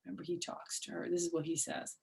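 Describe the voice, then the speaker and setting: A woman's voice: on the low side, flat delivery, fast talker, gravelly, darkish tone. Older American woman reading a children's story. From United States. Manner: explains simply to young listeners. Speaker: storyteller, former teacher. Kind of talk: expressive children's story reading with chatty asides.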